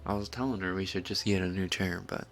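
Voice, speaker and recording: A man chatting casually and softly on a late-night ASMR live stream, laid-back and swearing now and then. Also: sits low, steady tone, quick pace, slight background noise.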